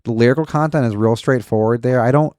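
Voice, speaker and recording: Man, bassy voice, chatty podcast co-host, restarts sentences, dry close mic. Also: fast talker, darkish tone, no echo. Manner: chatty, upbeat, repeats words when starting. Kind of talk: casual chat reviewing music.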